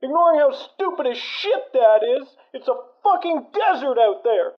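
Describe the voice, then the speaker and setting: A woman acts out a hot-headed raider schemer in a wasteland radio play. The voice is extremely high and swings about as it curses in agitation. It sounds a little muffled. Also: expressive, moderate pace. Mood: alarmed, angry. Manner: swearing, agitated. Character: hot-headed, foul-mouthed raider schemer, easily panicked. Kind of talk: post-apocalyptic audio drama acting.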